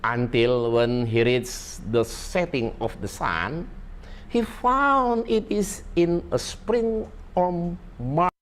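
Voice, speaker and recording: A woman slowly reading a passage aloud as a caller. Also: low-pitched.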